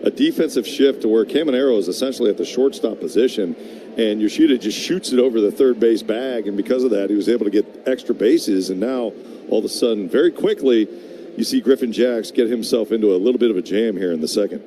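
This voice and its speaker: A man's voice: fairly low pitch, fast talker, mellow. A man giving conversational, explanatory color commentary on a live baseball radio broadcast. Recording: faint background noise.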